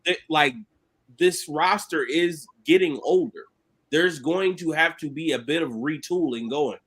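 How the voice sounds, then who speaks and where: A man in casual sports debate on a podcast, animated and slangy, cursing and laughing as he argues. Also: on the high side, a little slow, husky. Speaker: podcast panelist.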